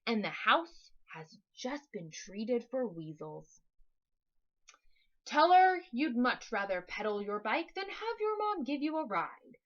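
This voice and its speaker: Woman voicing a loud, boastful giant monster. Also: fairly high pitch, highs cut off, exaggerated voice. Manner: loud, emphatic, drawn-out boasting.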